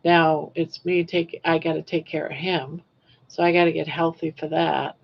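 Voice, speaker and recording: A woman's voice: low voice, level tone, normal speed, creaky, breathy edge, highs cut off. Older Maine woman, chatty livestream. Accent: southern Maine. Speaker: livestream host. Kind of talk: casual chat while crocheting, reading viewer comments.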